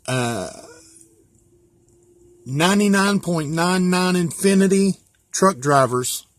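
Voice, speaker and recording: An American man with a slightly high voice talks through his hobby gear in a casual, folksy manner. He explains things plainly, one step at a time. The sound is dry, with little room echo. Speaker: hobbyist presenter. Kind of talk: casual hands-on gear walkthrough.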